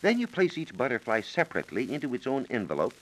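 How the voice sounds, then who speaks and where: An American man giving measured, precise instructions as a science reporter on a scripted 1940s radio program. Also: medium pitch, sing-song, a bit bright, poor audio. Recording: 1940s radio broadcast recording.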